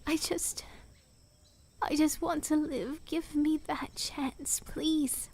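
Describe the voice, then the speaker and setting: An actress playing a young princess, earnest and proud, with formal archaic diction in a theatrical audio drama. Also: female voice, high-pitched, steady tone, slow, a little mellow. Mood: pleading, sorrowful. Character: young princess, pleading yet proud and imperious.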